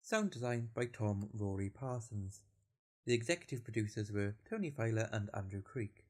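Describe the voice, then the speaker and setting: Lowish-voiced man announcing. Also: normal speed. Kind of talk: scripted credits narration.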